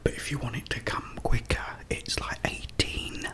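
A middle-aged man with a British accent, whispering a rambling, chatty story as an ASMR creator in a dim room, close to the mic. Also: moderate pace. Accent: British English. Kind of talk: whispered ASMR chat while eating. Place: dim indoor room at a table.

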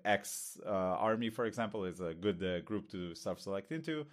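An Israeli-accented man with a fairly low voice, chatting candidly as a podcast co-host. He thinks aloud, pausing and filling gaps with ums and uhs. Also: lively intonation. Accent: Israeli, lighter than most. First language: Hebrew. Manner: candid, thinks aloud with many pauses and fillers. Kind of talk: casual conversation.

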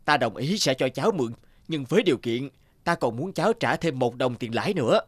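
A man's voice: mid-range voice, lively intonation, brisk, no echo, natural voice. An actor playing a big, sceptical cartoon businessman who bargains in a condescending manner. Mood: shrewd bargaining. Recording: music behind the voice.

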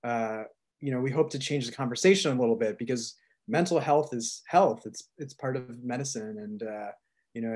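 A man talking on a video call panel, chatty and quick, with fillers and asides. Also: mid-range voice, a little mellow.

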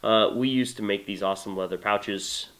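Congested man, deep voice, chatty. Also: moderate pace. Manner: relaxed, enthusiastic, many fillers. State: congested from a cold. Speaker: knife maker presenting his knife. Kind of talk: casual product talk to camera. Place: small indoor workshop room.

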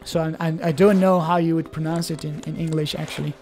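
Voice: mid-range voice, little pitch movement, fast talker, darkish tone, faint music behind. A young adult from Belgium, a native Dutch speaker with a Belgian Dutch accent, chatting casually in hesitant, restart-filled commentary while opening card packs.

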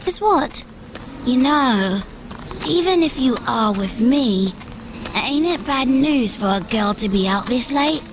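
A woman's voice: high voice, animated, slow pace, background noise, full character voice. A woman voicing a cheeky, boastful tiny elf companion with a brash, colloquial way of talking in a comic dub. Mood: doubtful, nagging.

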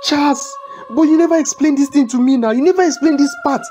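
A man's voice: on the high side, animated, moderate pace, a little hiss or hum, lightly acted. A man with a Nigerian accent playing a desperate poor husband in a film drama, stammering and repeating his words. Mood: panicked. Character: desperate poor husband entangled in a money ritual. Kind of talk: melodramatic film acting.

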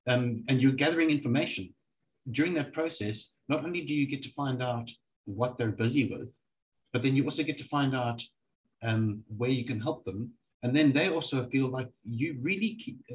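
A man's voice: mid-range voice, dull audio. A middle-aged man co-hosting a casual video-call chat, speaking emphatically and repeating his phrases. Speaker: co-host. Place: small home room, video call. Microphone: shared desk microphone. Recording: noisy video-call audio.

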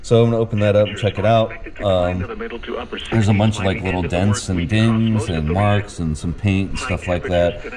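A man's voice: deep voice, steady tone, normal speed, crowd noise. A man vlogging in a casual, unscripted way, with a rambling, hesitant, matter-of-fact delivery. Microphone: action camera worn or handheld. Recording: noisy action camera, traffic and ambient noise.

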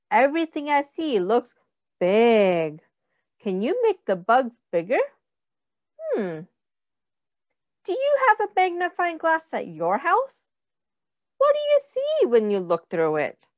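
A middle-aged woman reading a picture book aloud to young children, with simple, deliberate phrasing and questions to the listeners. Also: sits high, huge pitch swings, no room sound.

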